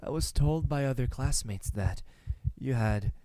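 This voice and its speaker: A young man playing a menacing student kidnapper in an ASMR roleplay, calm and smug, speaking softly and deliberately into a close microphone. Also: medium pitch, dry recording, lightly acted. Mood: quiet confession. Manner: soft, deliberate, breathy sighs, dropping into whispers.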